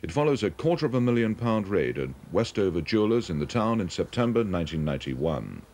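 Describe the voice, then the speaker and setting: A British man reads a regional television news bulletin. His voice is very low and he keeps to a scripted newsreading delivery. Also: very animated, fast, a little mellow. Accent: British English.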